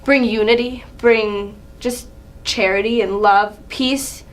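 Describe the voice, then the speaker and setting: A woman's voice: on the high side, steady tone, slow pace. A young adult woman giving a casual, informal student presentation.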